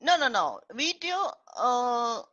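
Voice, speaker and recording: A woman's voice: on the high side, very animated, takes it slowly, a bit bright, highs cut off. A woman hosting an online poetry event over a video call, talking in a hesitant way with many restarts and fillers. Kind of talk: casual online discussion.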